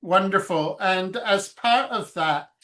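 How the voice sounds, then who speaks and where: A man's voice: high voice, takes it slowly, sharp tone. A man hosting an interview, asking questions hesitantly with many filler sounds. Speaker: interviewer, host. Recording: echoey room sound.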